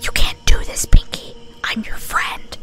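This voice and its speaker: A woman's voice: a little slow, over music, natural voice. A woman voicing a frightened, pleading female pegasus pony captive in a whispered storybook reading, urgent and desperate. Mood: panicked pleading.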